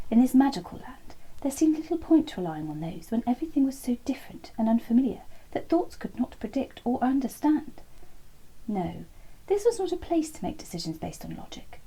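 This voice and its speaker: A woman reading a bedtime story aloud in a soft, half-whispered, lilting way. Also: on the high side, slightly rough, poor audio.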